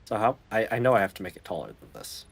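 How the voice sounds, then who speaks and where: A man's voice: on the low side, sing-song, racing, creaky. A man streaming a factory-building game, chatting casually and muttering to himself while he adjusts his audio settings. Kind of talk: casual gaming chat.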